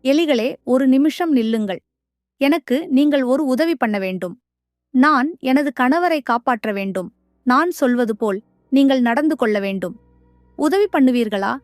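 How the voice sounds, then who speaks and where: A woman's voice: high-pitched, animated, measured pace, no echo, faint music behind, voice pushed up. A woman voicing a brave, clever young wife in a children's cartoon, speaking in an earnest, determined way. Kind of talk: cartoon voice acting.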